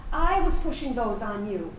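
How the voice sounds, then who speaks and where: Woman playing a wistful veteran, high and animated. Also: normal speed. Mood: fond reminiscence. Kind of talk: staged reading of a personal narrative play. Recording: roomy, distant microphone, faint background noise.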